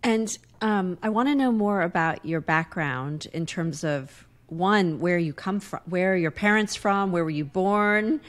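A woman in her forties hosting an interview, asking questions in a soft, halting, curious way from her home. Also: on the low side. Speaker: podcast host. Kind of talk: casual interview. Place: home living room.

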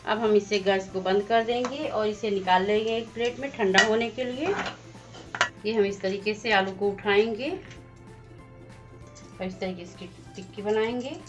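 A woman hosting a home cooking channel, calmly explaining each step of a recipe as she goes. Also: mid-range voice, unhurried. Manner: plain, instructive, walks through each step. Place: home kitchen at the stove. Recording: slightly dull lo-fi sound with faint music.

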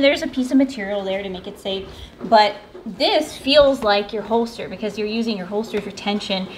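A woman's voice: mid-range voice, a little hiss or hum. An American woman in her thirties giving a casual, conversational product review to camera as host. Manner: conversational, informal. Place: backyard patio and home kitchen.

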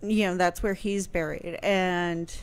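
A woman's voice: lowish voice, steady tone, unhurried, smooth. American woman chatting on radio, music behind. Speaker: radio show host answering history questions. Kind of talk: casual radio talk show chat. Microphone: close microphone on boom arm, headphones.

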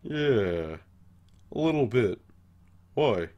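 A man's voice: medium pitch, sing-song, extremely slow, a little mellow, lo-fi sound. A man voicing a laid-back, wry, protective skeleton older brother in a comic dub, talking in a casual, dry, teasing way. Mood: reassuring. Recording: voice over background music.

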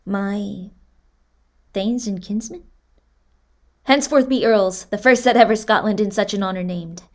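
A woman's voice: mid-range voice, a little slow, close to an everyday voice. A woman playing a dignified young monarch in a Shakespearean radio drama, formal and stately in delivery. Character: dignified young new monarch addressing thanes.

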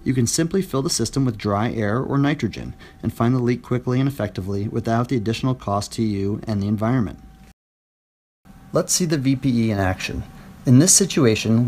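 A man's voice: mid-range voice, brisk, a little hiss or hum. Man narrating a product voice-over. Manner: clear, even explanatory delivery.

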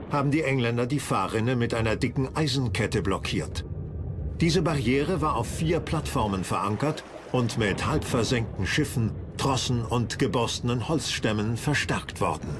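A man's voice: medium pitch, lively intonation, moderate pace, background noise. A man reading scripted narration for a history documentary.

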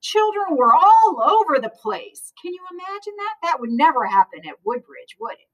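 A woman's voice: sits high, big pitch swings, normal speed, highs cut off. A middle-aged woman reads a children's picture book aloud expressively, with sing-song emphasis and chatty questions to young listeners. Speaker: teacher or librarian reading story time to pupils. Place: school library, indoors. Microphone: far camera across the room. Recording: distant microphone in a room.

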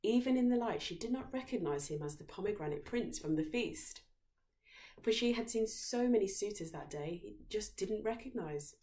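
A woman's voice: mid-range voice, lively intonation, normal speed, mellow. A young woman reading a fairy tale aloud as a storyteller. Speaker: story reader. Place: living room at home, on a sofa.

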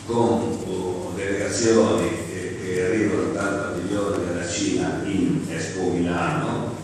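A middle-aged man gives a formal welcome at a press presentation, halting, with many fillers, listing names and titles. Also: lowish voice, darkish tone, slight background noise. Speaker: local official opening a press presentation. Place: large council room with high walls, indoors. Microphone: table gooseneck mic, recorded from the back of the room. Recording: echoey hall, voice from far off.

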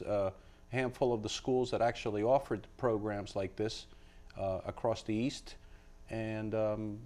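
A man in his fifties with a fairly low voice gives explanatory answers as an interview guest. He speaks in a measured, halting way, picked up cleanly by a lapel mic in a TV studio. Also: a little mellow. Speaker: college associate dean, interview guest. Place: indoor TV studio.

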